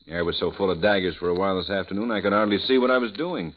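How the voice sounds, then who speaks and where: An actor playing an earnest, possessive husband who grows urgent and threatening, in a 1940s radio drama. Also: male voice, low-pitched, very fast. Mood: intense. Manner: earnest, urgent, emotional swings. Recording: dull old radio recording with faint hiss.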